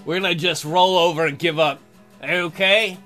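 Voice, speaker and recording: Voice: medium pitch, steady tone, normal speed, bright, forceful tone, soft background music. A let's play host reading game text aloud with bawdy, joking, playful asides.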